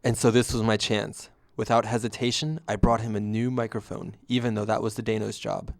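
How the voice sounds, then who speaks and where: A man's voice: fairly low pitch, level tone, fairly quick, vocal fry. A man playing a former usher, reflectively narrating his story in scripted first person.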